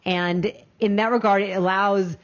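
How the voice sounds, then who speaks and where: A woman's voice: mid-range voice, fairly even intonation. A woman film critic co-hosting a casual review chat, talking emphatically and laughing easily. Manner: emphatic, quick to laugh, wrapping up the show. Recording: dull lo-fi audio.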